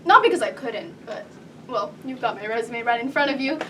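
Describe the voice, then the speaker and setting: Woman doing a nervous oversharing job applicant, high voice, theater monologue, recorded from far off w some hiss. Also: animated, fairly quick, sharp tone. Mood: nervous, awkward. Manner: rambling, nervous, self-correcting with awkward laughter. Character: flustered, over-sharing thirty-year-old woman at a job interview. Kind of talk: live theatrical comic monologue. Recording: distant stage mic with background noise.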